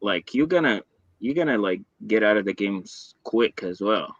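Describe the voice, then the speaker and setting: Blunt man from Egypt, low voice. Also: expressive, moderate pace, no echo. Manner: blunt, matter-of-fact. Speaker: guest reseller. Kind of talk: casual interview chat. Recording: dull dry lo-fi sound.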